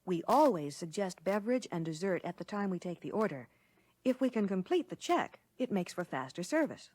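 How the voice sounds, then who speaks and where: A woman playing a courteous American lunch counter waitress, explaining service clearly in a scripted 1960 training film. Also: mid-range voice, very animated, brisk, darkish tone, no echo. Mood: polite, instructive. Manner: courteous, instructive, clearly worded.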